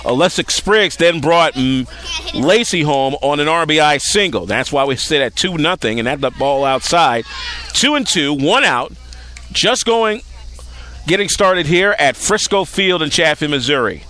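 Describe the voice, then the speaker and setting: Guy doing softball play by play, high-ish voice, really animated, outside at the field. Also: unhurried. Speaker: play-by-play sports announcer. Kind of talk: live softball play-by-play commentary. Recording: outdoor ballfield broadcast.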